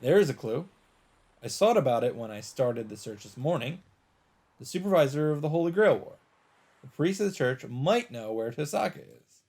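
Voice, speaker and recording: A man doing a let's play, reading a visual novel's narration aloud in a measured storytelling delivery. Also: a little high, big pitch swings, a little mellow.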